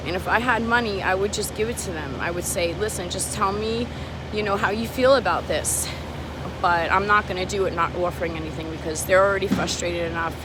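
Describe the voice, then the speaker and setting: Frustrated woman vlogging on a noisy street. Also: mid-range voice. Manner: emotional, frustrated, swearing, addresses viewers as guys. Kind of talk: walking street vlog talking to camera. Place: outdoors on a city street. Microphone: handheld phone camera. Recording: noisy outdoor street recording. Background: traffic and street noise.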